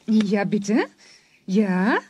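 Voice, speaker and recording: A woman's voice: medium pitch, extremely slow, a little mellow, a little hiss or hum. A woman playing a polite, attentive mother in a children's radio play. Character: friendly mother answering the telephone.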